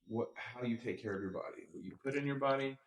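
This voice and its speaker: A man's voice: mid-range voice, quick pace, slight background noise, low-quality recording. A man hosting a casual interview, speaking in a blunt, informal way. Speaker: interviewer, podcast host. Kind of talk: casual chat.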